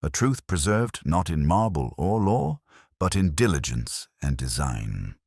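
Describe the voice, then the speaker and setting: A man voicing a calm, reflective Victorian detective of quiet principle in an audiobook story, speaking in a measured, grave way. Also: extremely low pitch, gravelly, breathy edge, dry recording, clean studio sound, full character voice. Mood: quietly satisfied.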